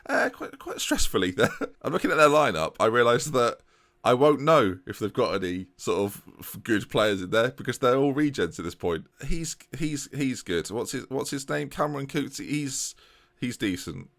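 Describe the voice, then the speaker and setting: A young man giving chatty, excitable commentary over a video game, often tripping over his words and joking at himself. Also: mid-range voice, normal speed, gravelly. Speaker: gaming YouTuber. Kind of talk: casual let's-play commentary.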